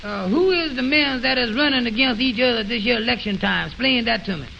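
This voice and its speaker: A man playing a naive, slow-witted, questioning fellow in a 1920s radio comedy, with puzzled, halting, folksy ungrammatical talk on an old recording. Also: very high-pitched, creaky, bright, forceful tone, lo-fi sound, a little hiss or hum, full character voice.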